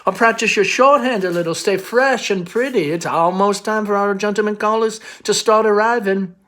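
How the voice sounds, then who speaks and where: A faded Southern belle of a mother, acted out by a man, airy and girlish in a raised pitch. She rambles and gushes theatrically, full of nostalgia for her youth, as in a stage drama. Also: moderate pace, bright, forceful tone. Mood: girlish, excited.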